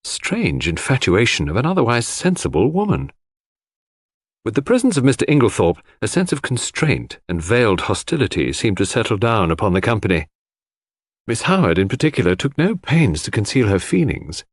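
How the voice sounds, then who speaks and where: A man reading a classic mystery novel as an audiobook narrator, in a measured, expressive storytelling manner. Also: fairly low pitch, slightly rough, no room sound, clean studio sound.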